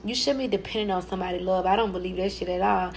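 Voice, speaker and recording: A woman's voice: on the low side, steady tone, rapid-fire, a little mellow, a little hiss or hum. A woman vlogging to her phone at arm's length, talking in an emphatic, profane, self-assured way. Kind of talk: casual selfie vlog talk. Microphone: phone held at arm's length.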